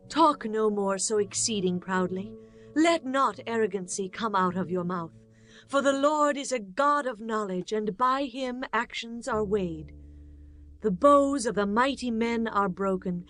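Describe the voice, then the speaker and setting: A woman's voice: medium pitch, close to an everyday voice. A woman playing a devout, earnest wife of ancient Israel in a dramatized scripture reading, speaking reverently and with measure. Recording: music bed behind the voice.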